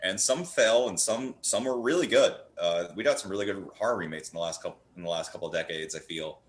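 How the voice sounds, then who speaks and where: A man's voice: deep voice, quick pace. A man chatting casually about films as a podcast guest, riffing playfully and slipping into mimicked dialogue. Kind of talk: casual film chat. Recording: roomy, echoing voice.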